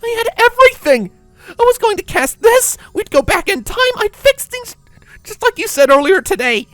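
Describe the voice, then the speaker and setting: A man's voice: very high-pitched, sing-song, normal speed, a bit bright, no echo, faint music behind, pitched above the performer's own voice, heavily acted. A man voicing a frantic, despairing female unicorn pony villain who rambles in an overwrought way, in a storybook reading. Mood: hysterical.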